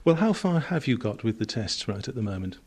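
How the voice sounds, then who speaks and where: A man's voice: fairly low pitch, big pitch swings, brisk, a little mellow, slightly rough. A man interviewing guests on radio in a conversational, inquisitive and informal way.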